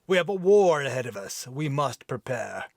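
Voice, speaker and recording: A man voicing a rough, boastful male Viking warrior with a deep, rough put-on brogue accent. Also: very animated, normal speed, no room sound, clean studio sound. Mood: bellowing.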